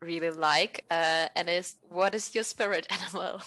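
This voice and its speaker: A woman's voice: on the low side, fairly even intonation, normal speed, fairly bright tone, no room sound. Giggly woman leading a podcast chat. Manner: light and giggly, leading with questions. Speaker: podcast host asking the questions.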